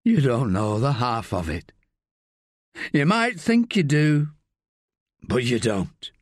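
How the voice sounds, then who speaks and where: A man's voice: mid-range voice, very animated, slow pace, breathy edge, no echo, clean studio sound, full character voice. A man reading an audiobook, voicing a dying man who speaks urgently and earnestly. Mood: urgent, resigned. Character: dying man, weary and resigned.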